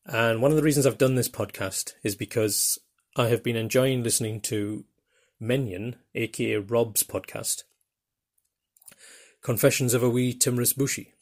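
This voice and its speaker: An older man with a Geordie accent from northeast England, hosting his podcast in a rambling, self-deprecating way with many pauses and fillers. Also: mid-range voice, fairly even intonation. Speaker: podcast host. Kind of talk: casual monologue.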